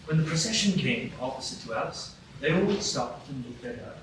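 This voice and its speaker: Male narrator, measured storytelling; distant mic, echoey with audible hiss. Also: medium pitch, lively intonation. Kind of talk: live radio play narration. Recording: echoey stage from far off, noisy.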